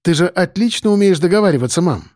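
A man's voice: high voice, expressive, darkish tone, no room sound, studio quality, voice pushed up. A man playing a harried grown son in an audiobook story, insistent and impatient with his mother. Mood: hurried, evasive. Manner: hurried, breathy exhaling, insistent.

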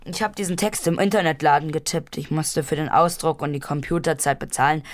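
A woman's voice: low voice, steady tone, brisk, lightly acted. A woman playing a precocious, stubborn twelve-year-old grandson in a radio drama, formal and insistent in manner. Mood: earnest, insistent. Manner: formal, matter-of-fact, insistent. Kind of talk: German radio drama acting.

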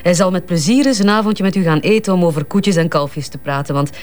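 Actress as brisk, guarded Flemish businesswoman. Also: fairly low pitch, darkish tone, natural voice. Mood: guarded, defensive. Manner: curt, defensive, evasive. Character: brisk, guarded, haughty businesswoman at advertising firm. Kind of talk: naturalistic Flemish radio crime drama.

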